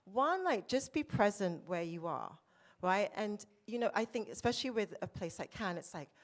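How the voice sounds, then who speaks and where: A woman's voice: medium pitch, expressive, moderate pace. A woman panelist sharing anecdotes and advice in a conversational way at a festival panel discussion. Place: lounge at a festival panel event.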